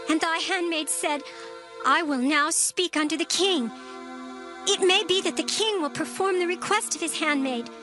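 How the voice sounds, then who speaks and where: A woman's voice: sits high, close to an everyday voice. An actress playing a distressed widow beseeching a king in a theatrical dramatized scripture reading. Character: pleading, distressed widow woman petitioning a king. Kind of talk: dramatized Bible audio acting.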